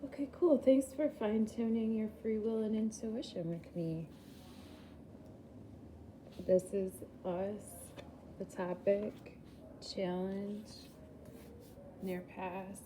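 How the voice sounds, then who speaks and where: A woman's voice: medium pitch, slow, pauses often, mellow, a little hiss or hum. A woman giving a casual, chatty tarot reading, rambling and laughing at herself, a little groggy after a poor night's sleep. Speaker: tarot reader.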